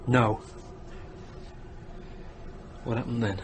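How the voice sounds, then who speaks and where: An actor playing an irritable, worn-out, fearful stranded traveller in a radio drama. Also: male voice, medium pitch, fairly even intonation, very slow, slight background noise. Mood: irritated. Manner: exasperated, snappy.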